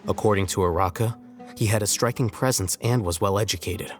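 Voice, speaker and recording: A man voicing a composed young scholar in a video game, measured, articulate and detached. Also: on the low side, faint music behind, close to an everyday voice. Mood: matter-of-fact. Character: composed, matter-of-fact young male scholar, coolly analytical. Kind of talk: English video game dub acting.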